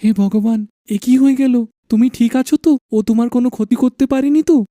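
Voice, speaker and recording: Worried village husband, acted by a man, mellow voice. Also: extremely high pitch, fairly quick, no room sound, studio quality. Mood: alarmed. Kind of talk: dramatised animated folk horror story.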